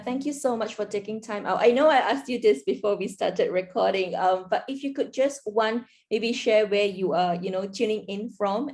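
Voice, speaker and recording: A woman with a mid-pitched voice hosting an online talk over a video call. She introduces the series and her guest, then chats with the guest in a friendly, upbeat way. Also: a little mellow. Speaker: host.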